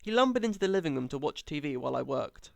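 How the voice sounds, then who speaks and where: Sarcastic, very animated male audiobook reader. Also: fairly high pitch, fast, gravelly, no room sound. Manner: mocking, exaggerated emphasis.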